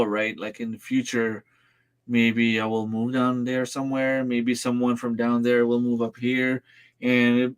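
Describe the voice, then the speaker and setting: A French Canadian man in his thirties, native French speaker, takes his time on a casual livestream. He talks slowly and easygoingly, hesitating and restarting as he answers viewer comments, at an everyday mid pitch. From Northern New Brunswick, Canada. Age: 30s. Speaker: farm channel host.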